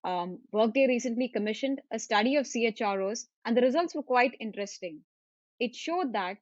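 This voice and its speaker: A woman hosts a webinar, her voice sitting fairly high as she reads formal, scripted remarks with the odd filler. The sound is dry and a little dull, with no room around it. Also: dry recording. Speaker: webinar host, value manager. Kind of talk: webinar moderation.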